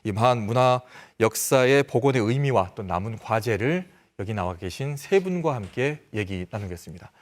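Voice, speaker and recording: A man hosting a regional TV panel discussion, introducing guests and handing them the floor in a polished, brisk manner. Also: mid-range voice, lively intonation. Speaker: host, moderator. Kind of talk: broadcast panel moderation.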